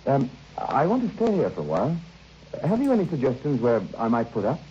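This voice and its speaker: A mellow, genteel gentleman writer. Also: mid-range voice, wildly swooping pitch, a little fast. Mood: courteous, pleased. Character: genteel, anxious male writer lodging away from home. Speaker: actor in a radio drama. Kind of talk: old radio drama acting. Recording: muffled old radio recording, faint noise.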